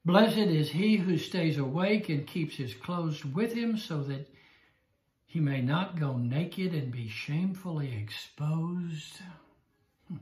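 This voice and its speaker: An older man teaching a Bible study class, slow and emphatic, repeating his key phrases. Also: on the high side, animated, darkish tone, roomy sound. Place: small room indoors. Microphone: lapel mic.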